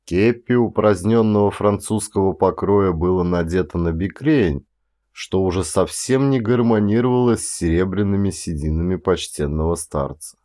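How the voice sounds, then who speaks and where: Male audiobook narrator, low register, dramatic literary reading. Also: normal speed, mellow. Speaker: audiobook narrator and stage actor.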